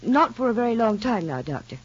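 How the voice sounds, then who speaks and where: An actress playing an anxious young mother in a radio soap opera, speaking politely and hesitantly in measured phrases. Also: fairly high pitch, very animated. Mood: anxious, confiding. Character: anxious young mother confiding in child psychologist. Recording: muffled, husky-sounding old radio recording.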